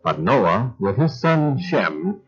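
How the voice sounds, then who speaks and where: A man's voice: on the low side, big pitch swings, takes it slowly, a bit bright, breathy edge. Solemn male radio narrator. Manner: solemn, storytelling. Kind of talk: scripted biblical narration. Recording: muffled lo-fi old recording with music.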